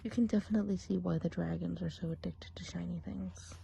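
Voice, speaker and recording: A woman playing a chatty, gossipy woodland witch in an ASMR role play, speaking in a soft, breathy whisper and rambling with fillers. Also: fairly low pitch, fairly even intonation, brisk, a little hiss or hum. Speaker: eye examiner.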